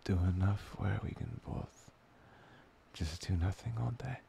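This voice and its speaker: A man performing an intimate boyfriend ASMR roleplay, murmuring softly and tenderly, breathy and often dropping to a whisper. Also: sits low, slight background noise. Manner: soft, tender, murmuring with long pauses.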